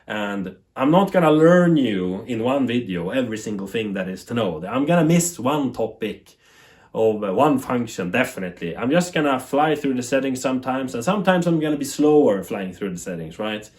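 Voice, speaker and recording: A man from Sweden with a Swedish accent, speaking English as a second language in a rambling, animated talk to camera as a TV tech YouTuber, laughing at himself. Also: medium pitch, normal speed.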